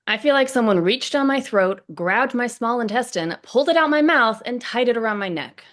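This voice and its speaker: Woman as sad prickly sitcom guy, aggrieved, highish and animated. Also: brisk. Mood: despondent. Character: despondent, prickly young man, newly separated. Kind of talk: sitcom script table read.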